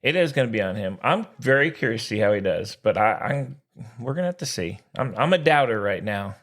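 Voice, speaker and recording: A man's voice: lowish voice, expressive, fairly quick, fairly bright tone. A man co-hosting a casual sports podcast, talking in a blunt, opinionated, exclamatory way.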